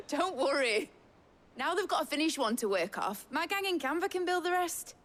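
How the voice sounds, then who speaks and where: A woman's voice: high voice, lively intonation, unhurried, a bit bright. An actress playing an earnest, hopeful young female engineer with a British accent, speaking informally and from the heart in a video game drama. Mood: breezy, confident.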